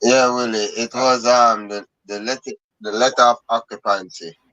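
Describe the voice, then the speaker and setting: A man on a video call asking about a trust, halting and restarting his phrases. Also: medium pitch, fairly even intonation, sharp tone, no room sound, poor audio. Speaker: workshop participant. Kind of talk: casual video-call question.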